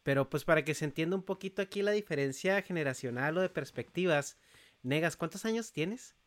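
A man in his thirties with a Mexican accent, hosting a relaxed casual chat and asking the others questions. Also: fairly high pitch, a little fast. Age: 30s.

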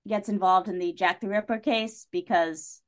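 A woman's voice: mid-range voice, fairly even intonation, normal speed. A woman talking casually as a podcast guest, enthusiastic and wry, with playful asides.

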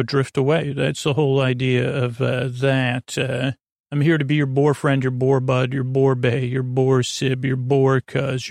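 A gravelly man rambles through a bedtime podcast monologue. He wanders off on tangents, stops and restarts, and leaves sentences hanging. The sound is close and dry, with a mid-range pitch. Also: dry recording. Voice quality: creaky, gravelly. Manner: meandering, halting, tangential, with trailing unfinished sentences. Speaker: podcast host. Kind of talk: rambling bedtime monologue to help listeners fall asleep.

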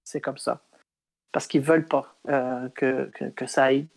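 A woman's voice: a bass voice, little pitch movement, measured pace, vocal fry, a little mellow. A woman from Quebec, talking casually and emphatically as an interview guest, telling anecdotes. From Quebec, Canada.

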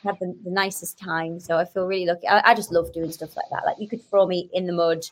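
A woman's voice: on the low side, steady tone, quick pace, poor audio. Chatty woman telling stories casually. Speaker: podcast guest, actress and sketch comedian. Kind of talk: casual chat, telling anecdotes.

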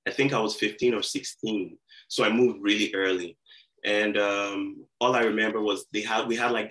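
A man in his twenties with a somewhat low voice. He tells stories as a podcast guest in a laid-back way, full of slang. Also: a bit bright, rough recording. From Nigeria. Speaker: guest rapper. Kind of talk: casual podcast interview.

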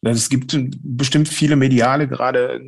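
Man, husky mid-pitched voice, casual explanatory talk, hesitant, rephrases as he goes. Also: steady tone, moderate pace. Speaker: guest, paranormal investigator.

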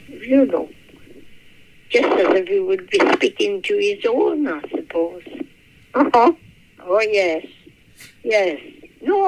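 A woman in her eighties recalling her childhood in a halting, unhurried way. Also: medium pitch, creaky. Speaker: interviewee. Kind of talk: reminiscing. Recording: muffled lo-fi phone-like recording.

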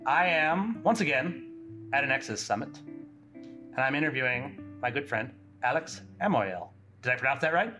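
Bright-voiced podcast host, curious. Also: male voice, medium pitch, lively intonation, moderate pace, soft background music. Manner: friendly, curious questioning. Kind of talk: interview. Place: conference venue.

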